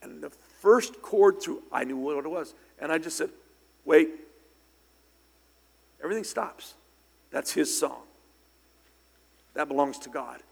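Middle-aged male preacher, hoarse and gravelly, a touch high-pitched, anecdotal delivery with sudden loud peaks. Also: animated, unhurried, long pauses. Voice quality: raspy. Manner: conversational, anecdotal, bursts of loud emphasis. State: slightly hoarse from singing. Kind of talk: sermon. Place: church sanctuary. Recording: long hall reverb in church.

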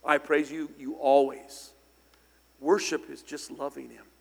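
A middle-aged man preaching a sermon in church, slightly hoarse and raspy from singing, conversational with loud bursts of emphasis. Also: a little high, flat delivery, a little slow. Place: church sanctuary. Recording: long hall reverb in church.

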